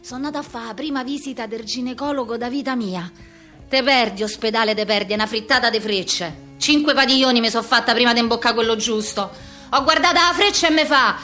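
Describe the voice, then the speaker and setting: Brash Roman street girl: very high, sharp voice, coarse slang, combative theatrical rambling. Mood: agitated storytelling. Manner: coarse, exclamatory, repetitive street talk.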